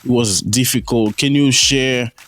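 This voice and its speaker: A man's voice: medium pitch, steady tone, a little slow, a bit bright, no room sound. A man hosting a podcast, asking questions in a casual way, laughing often and repeating words as he forms his questions. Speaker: podcast host.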